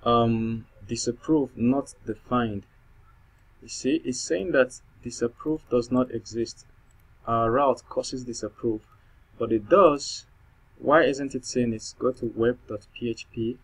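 A man's voice: mid-range voice, takes it slowly, low-quality recording. A man speaking English with a non-native accent, thinking aloud through a coding tutorial with many fillers and restarts. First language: not English. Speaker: programming tutor. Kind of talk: screen-recorded coding tutorial.

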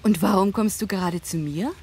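A woman in a German radio play, playing a gentle, lonely young fairy-tale princess who speaks simply and earnestly. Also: medium pitch, brisk, a little hiss or hum, close to an everyday voice. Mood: curious.

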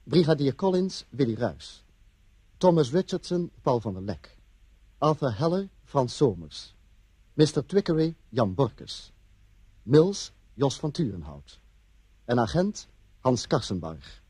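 A male announcer reads radio drama credits formally. His voice is mellow and slightly husky, with lively rises and falls, in a dry recording. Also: mid-range voice, takes it slowly, pauses often, no echo.

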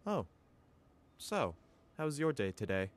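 A man playing a gentle, caring young sweetheart, earnest and supportive, in a comic dub. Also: mid-range voice, big pitch swings, slow. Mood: casual.

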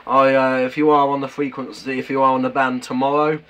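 British male radio hobbyist, medium pitch, halting matey chat, light background noise. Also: little pitch movement, fairly bright tone. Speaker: amateur CB radio operator. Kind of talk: casual two-way radio chat. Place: at home beside a CB radio set. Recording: noisy room with radio hiss.

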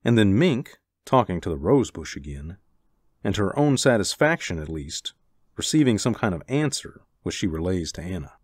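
A man's voice: deep voice, big pitch swings, normal speed, dry recording. A man playing the wry storyteller in a radio drama, narrating dramatically from a script. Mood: dramatic, wry.

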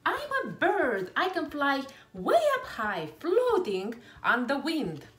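A woman voicing a confident, imaginative, defiant little girl in a storybook, with emphatic, drawn-out words. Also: sits high, wildly swooping pitch, fairly bright tone, pitched above the performer's own voice, heavily acted. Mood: dreamy, excited. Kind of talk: children's picture book read-aloud. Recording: roomy echo with music behind.

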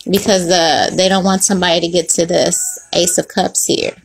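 A woman giving a casual tarot reading to the camera, chatty and slangy, swearing freely and cutting in with quick laughing asides, recorded indoors at a table. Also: on the low side, fairly even intonation, vocal fry, faint music behind, rough recording. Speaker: tarot reader.

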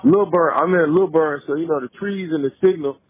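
A man with a husky voice in casual phone talk, reassuring and repeating his phrases. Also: on the high side, fairly quick. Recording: tinny phone line.

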